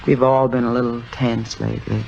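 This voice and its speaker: An actress playing a composed, wry married society woman who quietly schemes, with poised, confiding delivery in 1950s radio drama style. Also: extremely low pitch, little pitch movement, fairly quick, vocal fry. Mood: gentle, uneasy. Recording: muffled old radio recording with music behind.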